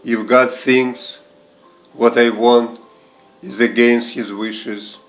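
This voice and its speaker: A man reading verse aloud in a measured recitation, in short deliberate phrases set apart by pauses. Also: medium pitch, flat delivery, soft background music, low-quality recording. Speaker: poetry reader and translator. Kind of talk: slow, measured poetry recitation.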